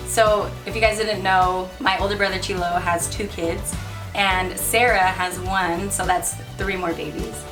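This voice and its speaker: A woman's voice: on the low side, flat delivery, measured pace. A woman in her twenties, a band member, chatting in an upbeat, talkative way. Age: 20s. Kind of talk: casual chat. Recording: distant mic with background music.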